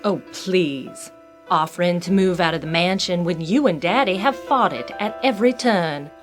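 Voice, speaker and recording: Actress as determined, defiant daughter in a soap. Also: female voice, lowish voice, normal speed, faint music behind, lightly acted. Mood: wary, suspicious. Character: adult daughter, married, determined, pleading then defiant. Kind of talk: audio soap drama acting.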